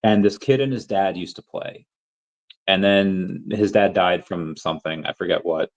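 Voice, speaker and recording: A man with a lowish voice hosts a casual podcast, rambling through long stories. He talks in a loose, conversational way, circling back as he goes. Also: moderate pace, muffled recording. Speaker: podcast host. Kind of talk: casual chat.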